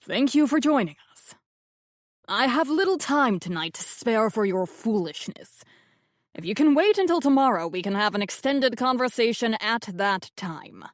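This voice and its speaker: A woman's voice: a little high, lively intonation, normal speed, no echo, clean studio sound, close to an everyday voice. Woman voicing a haughty dramatic medium. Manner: cold, theatrical, clipped.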